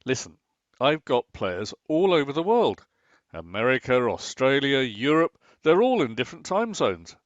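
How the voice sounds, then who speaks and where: An actor playing a defensive, pedantic middle-aged husband in an amateur radio play, who stammers when caught out. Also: male voice, mid-range voice, big pitch swings, normal speed, no echo, close to an everyday voice. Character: Martin, a bored middle-aged husband obsessed with online tank games. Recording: slightly muffled, lo-fi.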